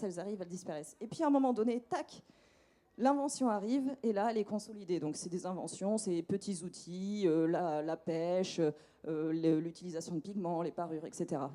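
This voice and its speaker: A woman's voice: medium pitch, warm tone. A woman researcher giving a short public science talk, explaining step by step with frequent restarts.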